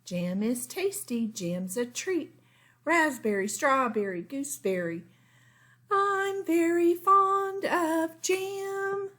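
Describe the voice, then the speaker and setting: A woman's voice: very high-pitched, expressive, slow, a bit bright, voice pushed up, exaggerated voice. Woman as a sing-song picky little girl. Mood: playful singing. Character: young girl Frances, fussy, playful picky eater.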